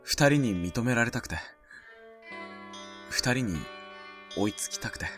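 A man playing an earnest, wistful high school boy in a youth romance voice drama. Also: lively intonation, measured pace, natural voice. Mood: wistful confession. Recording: music behind.

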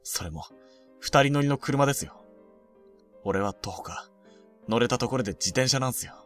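A man playing an earnest, lovelorn high school boy in a Japanese voice drama, speaking as a polite but casual junior. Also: lively intonation, moderate pace, a bit bright, recorded from a distance, soft background music, natural voice. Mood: bitter, resigned. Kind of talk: Japanese romantic-comedy voice drama acting.

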